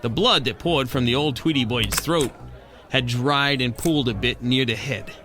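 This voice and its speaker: A man's voice: medium pitch, normal speed, music in the background, crowd noise, natural voice. A man playing a hard-boiled penguin private eye, narrating a noir radio drama in wisecracking slang. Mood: world-weary.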